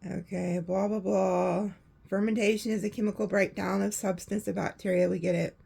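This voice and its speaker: A woman with a hoarse voice, chatting casually through a tarot reading and laughing often. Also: medium pitch, level tone, moderate pace, mellow. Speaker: tarot reader.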